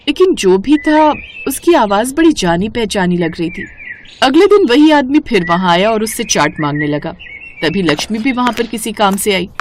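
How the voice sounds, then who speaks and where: Animated woman narrating a story. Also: fairly high pitch, slight background noise.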